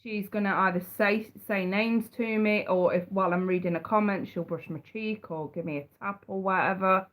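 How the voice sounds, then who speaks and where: A woman's voice: mid-range voice, steady tone, moderate pace, hardly any pauses. A woman with a head cold, chatting on a live stream and giving tarot readings. She talks in a chatty way, repeats words and calls her viewers sweetheart and darling. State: congested with a cold. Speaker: tarot reader.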